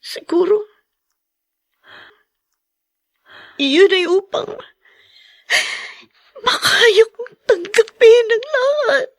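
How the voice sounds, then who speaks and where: An actress playing a gentle, distraught young Filipino wife in a radio drama, tearful, halting and pleading. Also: female voice, very high voice, animated, no room sound, studio quality, exaggerated voice. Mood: tearful, fearful.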